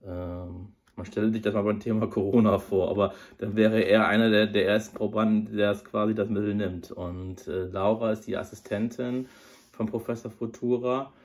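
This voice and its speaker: Man with a cold, low flat voice, mellow tone, rambling casual chat, close camera in a small room. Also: normal speed. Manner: rambling, many fillers and restarts. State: has a cold. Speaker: audio drama reviewer. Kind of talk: casual review talk to camera. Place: small room under a sloped roof, indoors. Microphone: device camera at close range.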